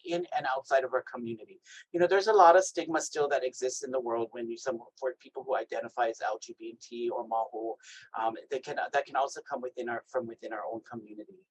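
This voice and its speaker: A man from Hawaii with a naturally high voice, giving an emphatic workshop talk over a video call and checking in with "right?". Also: rough recording. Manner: lively, emphatic, asks "right?" often. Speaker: health equity trainer. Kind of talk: workshop presentation.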